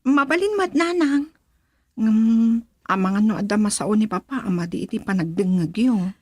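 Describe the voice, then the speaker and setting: A woman's voice: mid-range voice, expressive, moderate pace, a little mellow, no echo, natural voice. A woman playing an earnest, respectful young mother and dutiful daughter in a radio drama.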